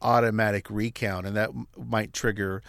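A man's voice: fairly low pitch, flat delivery, moderate pace, creaky, fairly bright tone, dry recording, clean studio sound. A man speaking as a news editor, giving conversational analysis on the radio.

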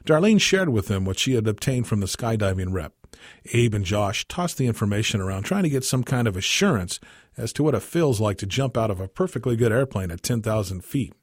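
A man with a husky voice reading a scripted short story as narrator. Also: on the low side, lively intonation, fairly quick, dry recording, studio quality. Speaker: story reader.

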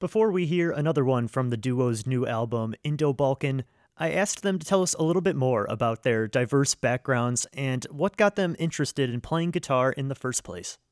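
A man hosting a radio music show, reading scripted announcements in a smooth, even way. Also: medium pitch, normal speed, no echo, clean studio sound.

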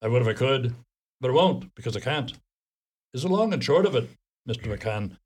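A man's voice: medium pitch, animated, a little fast, a little mellow, lightly acted. A man acting an officious, exasperated, rule-bound Irish post office clerk in a radio play. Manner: fussy, officious.

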